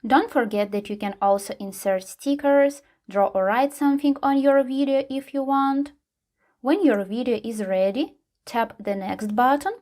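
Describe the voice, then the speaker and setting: A woman hosting a tutorial, reading scripted step-by-step how-to narration at a medium pitch. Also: moderate pace, a little mellow. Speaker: tutorial host.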